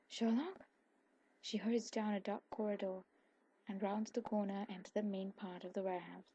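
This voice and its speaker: A woman with a husky voice reading a scripted story aloud in an ASMR style. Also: mid-range voice, dark tone, rough recording. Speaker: fan fiction audiobook narrator.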